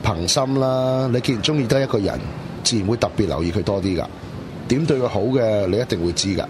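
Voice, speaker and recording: A man's voice: on the low side, brisk, background noise, natural voice. A man playing an easygoing young friend in casual Cantonese radio drama dialogue. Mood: gently advising.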